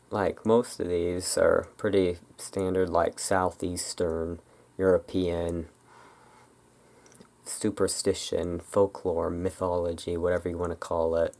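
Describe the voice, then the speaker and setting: A very low-pitched ASMR voice with plenty of vocal fry. The delivery is slow and unscripted, wandering along in a relaxed, musing way with halting stops. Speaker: ASMR video creator. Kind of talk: ASMR, unscripted rambling about folklore.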